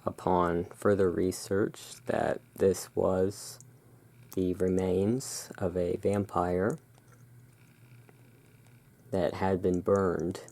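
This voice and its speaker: A soft-spoken ASMR performer playing the courteous owner of a gothic curiosity emporium, speaking in a hushed, deliberate way. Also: low-pitched, little pitch movement, creaky. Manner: slow, deliberate, hushed sales patter. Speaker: roleplaying shop owner, ASMR host.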